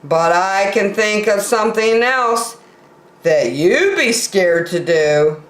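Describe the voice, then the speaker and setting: A proud little show-off of a bear acted out by a woman reading a storybook, competitive and insistent. The pitch moves up and down a lot, and the room adds echo. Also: expressive, takes it slowly. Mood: boastful. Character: competitive male bear, proud, pretending to be brave.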